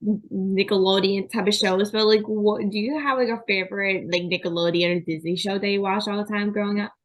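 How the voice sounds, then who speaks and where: A woman's voice: mid-range voice, steady tone, brisk, rough recording. A young woman hosting a podcast interview, chatty and filling her talk with "like". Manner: chatty, says "like" constantly. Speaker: podcast host. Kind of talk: casual interview chat.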